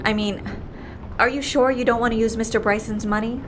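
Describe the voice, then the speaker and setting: An actress playing a shrewd, dry, businesslike fixer who asks probing, deadpan questions in an audio fiction drama. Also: female voice, on the high side, brisk, a little hiss or hum, lightly acted. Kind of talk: audio fiction drama acting, interview dialogue.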